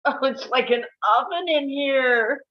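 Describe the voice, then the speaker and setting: A woman's voice: high-pitched, a little slow, muffled recording. A woman playing a crude, exasperated, queasy bridesmaid in a comedy table read. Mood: dramatic amazement.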